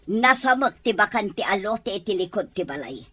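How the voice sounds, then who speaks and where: A woman's voice: medium pitch, animated, brisk, pushed and bright, no echo. A woman with an Ilocano accent playing a harsh, domineering mother-in-law in a radio drama. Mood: scornful. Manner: scornful, domineering.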